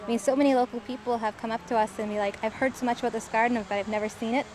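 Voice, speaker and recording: A woman's voice: a little high, steady tone, very fast. A woman helping run garden volunteers, talking chattily and enthusiastically in an interview. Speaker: volunteer organizer.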